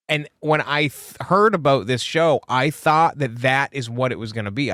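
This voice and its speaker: Late 30s dude on a podcast, ordinary pitch, dry close mic, jokey, loses his thread. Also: pushed and bright, no room sound. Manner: joking, trails off and restarts. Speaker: podcast co-host. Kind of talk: casual chat.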